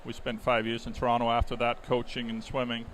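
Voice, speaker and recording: A man's voice: mid-range voice, fairly quick, low-quality recording. Conversational Danish-born man commentating over venue noise. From Denmark. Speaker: swimming commentator and coach. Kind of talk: live sports commentary. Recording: steady clear background venue noise. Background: swim meet ambience.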